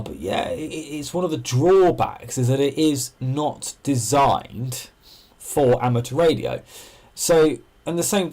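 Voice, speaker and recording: A man's voice: mid-range voice, a little mellow. A man hosting an amateur radio livestream, chatting casually and thinking aloud as he explains things and answers viewers.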